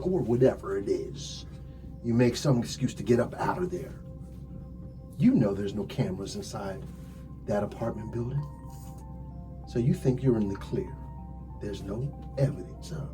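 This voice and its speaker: A man playing a smug police detective in an ASMR crime roleplay, telling things dramatically with drawn-out, hushed phrases. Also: lowish voice, natural voice. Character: smug theatrical male police detective interrogating a suspect.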